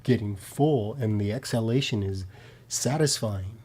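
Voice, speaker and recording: Mellow low-voiced man, laid-back. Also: unhurried, husky. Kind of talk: casual podcast conversation. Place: studio.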